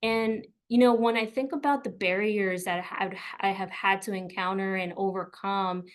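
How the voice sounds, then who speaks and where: A woman's voice: medium pitch, steady tone, normal speed, smooth. A middle-aged woman from South Texas whose first language is Spanish, presenting a career webinar in a personal, encouraging way, often with fillers and repeated words. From South Texas, USA.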